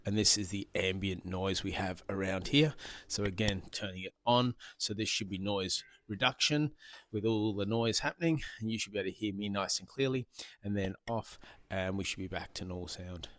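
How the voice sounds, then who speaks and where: A man's voice: lowish voice, steady tone, normal speed, a bit bright, dry recording. A man talking casually to camera as a product reviewer, informal and repeating himself, speaking into a handheld wireless microphone. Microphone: handheld wireless interview microphone.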